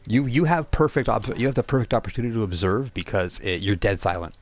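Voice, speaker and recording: A man narrating a tabletop role-playing game as game master, conversational and halting, often repeating phrases as he improvises. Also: lowish voice. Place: live online game stream.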